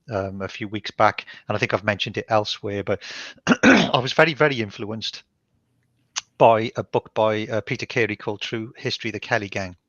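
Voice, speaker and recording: A Welsh man from South Wales with a bright, forceful tone and a lowish voice. He talks things through reflectively, circling back with ums and restarts. His voice comes through dry and a little muffled, like an online call. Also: no room sound. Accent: Welsh, from Barry in South Wales. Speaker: author being interviewed. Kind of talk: thoughtful conversational explanation.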